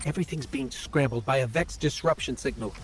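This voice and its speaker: A man's voice: mid-range voice, fairly quick, a little hiss or hum, natural voice. A man voicing an earnest, curious companion who guides the player through a game, helpful and explanatory. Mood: focused, curious. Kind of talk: scripted game dialogue.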